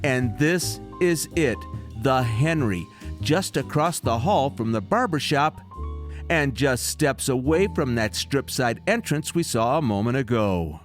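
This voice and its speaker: A man's voice: medium pitch, animated, unhurried. A man giving scripted, polished voice-over narration in an upbeat manner. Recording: voice-over with guitar music behind.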